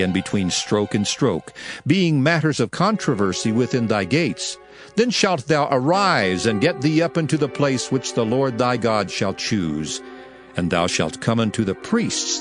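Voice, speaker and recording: An actor voicing a solemn prophet proclaiming ancient law in a declamatory, emphatic dramatized scripture reading. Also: male voice, mid-range voice. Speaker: scripture narrator.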